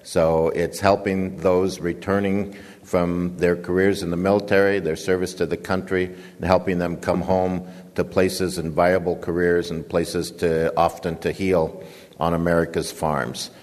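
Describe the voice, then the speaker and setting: An older man giving a conference speech, booming and halting, with many fillers. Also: level tone, a little mellow, long reverb tail. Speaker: guest speaker, nonprofit founder and director.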